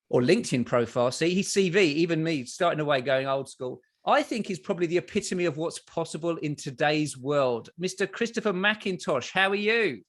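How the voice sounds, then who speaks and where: A man's voice: a little high, a bit bright. A man from Australia with an Australian accent, hosting a podcast in a rambling, enthusiastic way with many restarts. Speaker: podcast host. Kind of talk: casual interview chat.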